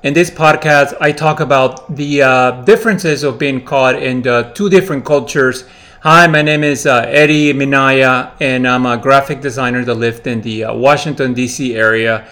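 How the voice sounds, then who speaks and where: A man's voice: mid-range voice, steady tone, fairly bright tone. Reflective man hosting a podcast. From Peru. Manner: reflective, halting with many fillers and restarts. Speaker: podcast host. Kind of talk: casual solo podcast monologue.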